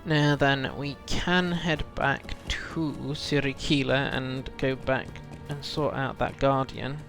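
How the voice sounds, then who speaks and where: Man with creaky voice, casual game streamer. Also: medium pitch, steady tone, slow pace, a bit bright, soft background music. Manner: rambling, thinking aloud, addressing chat. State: feels full of cold, often clearing throat. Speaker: game livestream host. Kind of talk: relaxed casual chat while gaming.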